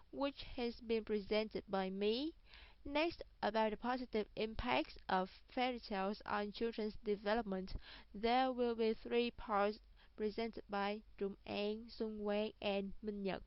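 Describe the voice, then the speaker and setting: A woman's voice: on the high side, fairly even intonation, measured pace, clean tone, no echo. A young woman giving a formal scripted student presentation. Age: young adult. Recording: dull, lo-fi audio.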